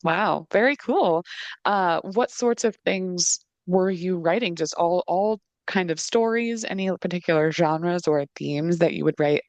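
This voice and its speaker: A woman's voice: on the low side, unhurried, dry recording. A woman in her thirties asking casual interview questions on a video call. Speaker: interviewer, podcast producer. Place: indoor room, video call. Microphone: computer video call, wearing headphones.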